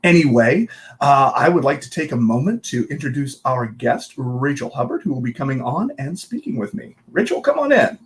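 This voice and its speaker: A man's voice: medium pitch, sing-song, moderate pace, slight background noise. A man hosting a casual interview, chatty and rambling, often correcting himself mid-sentence. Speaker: interview host, co-working board secretary.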